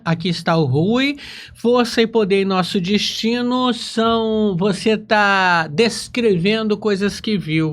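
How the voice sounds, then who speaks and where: High-pitched Brazilian man hosting. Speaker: podcast host. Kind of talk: casual interview chat.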